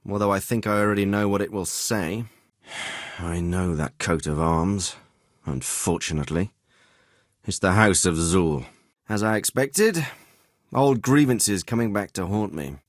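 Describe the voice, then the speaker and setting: An actor playing a dignified, fatherly middle-aged nobleman in a medieval video game, speaking in formal, deliberate phrases. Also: low-pitched, no echo, studio quality. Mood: grim, disdainful. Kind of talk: scripted video game dialogue.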